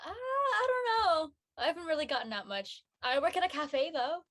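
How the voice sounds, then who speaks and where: A woman's voice: very high voice, animated, normal speed, a bit bright. A young woman playing a lonely, discouraged college student, speaking in a hesitant, plaintive way in a dramatic script reading. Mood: hesitant, wistful. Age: young adult.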